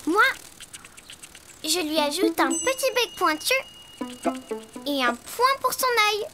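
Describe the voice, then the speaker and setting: High-pitched, mischievous little cartoon girl. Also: sing-song, takes it slowly, over loud music, heavily acted. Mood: teasing. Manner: sing-song, exclamatory, mischievous. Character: playful, teasing little girl, Didou's small sister. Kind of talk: preschool cartoon voice acting.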